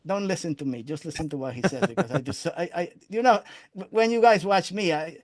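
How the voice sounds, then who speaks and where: A man's voice: on the high side, a little fast, no room sound, studio quality. An older man with a Spanish accent hosting a casual interview, rambling in a self-deprecating, profane way. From Spain. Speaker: interview show host. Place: indoor room on a video call.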